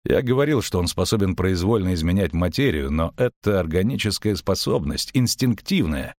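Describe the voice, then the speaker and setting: A man voicing a calm, laconic monster hunter who explains things in a muttering, matter-of-fact way in an audiobook. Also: sits low, normal speed, dry recording, studio quality. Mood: gruff, matter-of-fact. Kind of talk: audiobook character acting.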